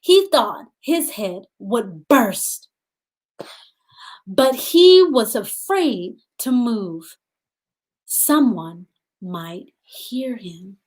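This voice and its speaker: A woman with a fairly high voice reads a picture book to children at a very unhurried pace. She leaves pauses between short phrases and checks in with her listeners through questions. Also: big pitch swings, darkish tone. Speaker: storytime host reading aloud to children. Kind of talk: storybook read-aloud with questions to young listeners.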